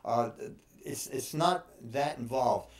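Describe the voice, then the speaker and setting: A man in his sixties giving informal advice as a personal trainer, speaking haltingly with frequent pauses. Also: medium pitch. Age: 60s. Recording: roomy, echoing room sound.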